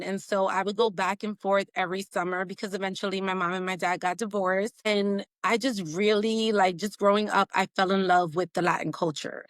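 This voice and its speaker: A woman's voice: mid-range voice, a bit bright, dry recording. Chatty woman guest, dry close sound. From Puerto Rico. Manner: chatty storytelling, lots of "like" fillers. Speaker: podcast guest. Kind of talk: casual interview chat.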